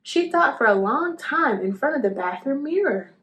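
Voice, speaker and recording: A woman reading a children's picture book aloud as an expressive narrator. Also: sits high, normal speed, a little hiss or hum.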